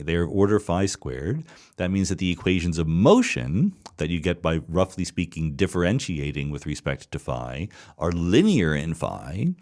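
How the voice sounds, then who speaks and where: A middle-aged man hosting a podcast, answering listener questions in a thoughtful solo monologue, explaining step by step with frequent restarts and repeated words. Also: low-pitched.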